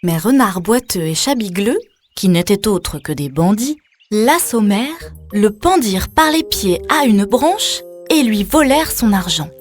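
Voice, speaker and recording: A woman tells a children's tale in scripted storyteller style, with faint music behind her. Her pitch sits in the middle range, and she turns to the child listener with questions. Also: sing-song, measured pace.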